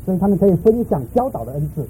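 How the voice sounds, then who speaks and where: A man interprets a sermon phrase by phrase, racing through each line at a very fast clip. His voice sits high for a man but has a deep, dark, mellow tone. Faint noise runs underneath. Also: sing-song, rough recording. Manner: quick, eager rendering of each phrase.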